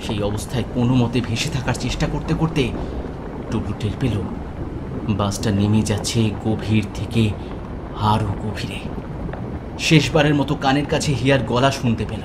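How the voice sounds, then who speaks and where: A man's voice: medium pitch, normal speed, long pauses. A man narrating a scripted horror story with intense, suspenseful, dramatic delivery. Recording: loud ambient noise behind the voice.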